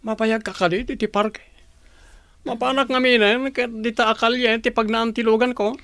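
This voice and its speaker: An unusually high male voice from a man acting as a young suitor in an audio drama. He comes across as friendly and courting. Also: little pitch movement, moderate pace, a bit bright, dry recording.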